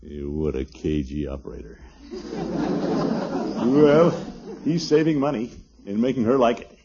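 A man's voice: medium pitch, lively intonation, measured pace, natural voice. An American man playing a blustery, chuckling middle-aged uncle with muttered asides in a 1950s radio sitcom. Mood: amused, muttering. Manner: hesitant, muttering asides, exclaiming. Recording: muffled, slightly noisy old radio recording.

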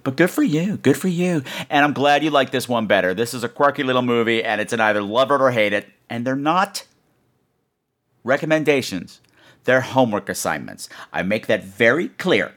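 A man's voice: mid-range voice, animated, moderate pace, a bit bright. A man hosting a podcast in a casual, chatty way, playful and exclamatory, often repeating phrases for effect. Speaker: podcast host.

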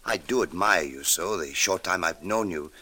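An actor playing a gentle, guarded old man in a radio drama, speaking hesitantly and earnestly. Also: low-pitched, normal speed, husky, full character voice. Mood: earnest, torn. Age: older. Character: gentle, guarded old father hiding his identity. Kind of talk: 1950s radio drama acting.

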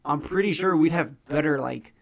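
Gravelly young man, casual swearing chat. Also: fairly high pitch, normal speed. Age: young adult. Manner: laid-back, swears a lot, rambling storyteller. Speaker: podcast co-host.

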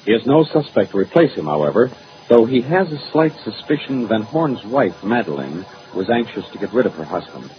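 Low-voiced old-time radio announcer man. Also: very animated, a little fast. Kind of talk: 1940s radio narration. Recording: muffled old radio recording. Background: music.

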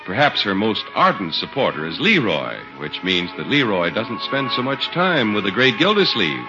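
A man's voice: on the low side, big pitch swings, normal speed, music in the background. A man announcing in scripted 1950s radio style with a polished, emphatic sales delivery. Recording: muffled 1950s radio recording.

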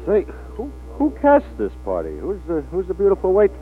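An actor playing a hard-drinking, flirtatious male film star in a 1940s radio drama, halting and repeating his words. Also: sits high, huge pitch swings. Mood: tipsy, flirtatious. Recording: old 1940s radio, tinny with hum.

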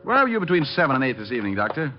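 An actor playing a suave, wisecracking private detective in a 1950s radio drama, in a wry, unflappable manner. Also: male voice, medium pitch, big pitch swings, slight background noise, natural voice. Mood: cool, pressing. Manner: wry, quick-witted, unflappable. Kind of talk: 1950s radio drama acting, hard-boiled narration. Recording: muffled vintage radio recording with music.

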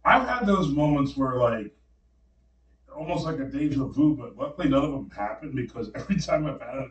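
Animated storyteller man, roomy room. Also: mid-range voice, dull audio. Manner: animated storyteller acting out quotes. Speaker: podcast co-host. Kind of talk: casual storytelling chat. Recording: roomy, slightly distant mic.

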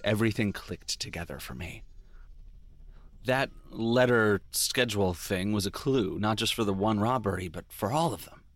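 A man's voice: fairly low pitch, fairly bright tone, dry recording. A man playing a brooding police detective, narrating a noir audio drama in a wry, confessional way. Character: brooding male police detective recalling his past mistakes. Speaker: lead character and narrator.

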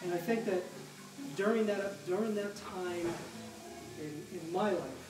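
A man's voice: high voice, a little slow. A middle-aged man from the Midwestern United States speaking as an interview guest, telling personal stories conversationally and repeating phrases for emphasis. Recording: echoey, distant mic with music underneath.

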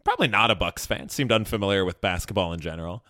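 A man's voice: on the low side, expressive, quick pace, sharp tone, no echo. A man co-hosting a podcast, chatting casually about sports with dry, wry humor. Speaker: podcast co-host.

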